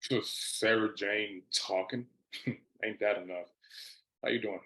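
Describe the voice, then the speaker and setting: A man's voice: on the low side, steady tone, slow pace, a bit bright. A man playing an easygoing, teasing younger brother, folksy and wry, in a dramatic script read.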